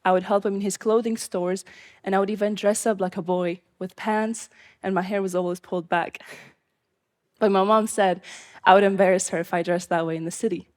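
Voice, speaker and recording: Young adult woman from Syria, first-person live storyteller, earnest, measured delivery, middle pitch. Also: steady tone, darkish tone.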